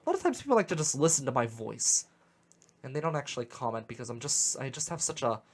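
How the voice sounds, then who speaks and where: A young man with a Western Pennsylvania accent hosting a casual radio talk show, animated and opinionated, often repeating words as he gets going. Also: medium pitch, fast talker. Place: radio studio. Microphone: studio microphone.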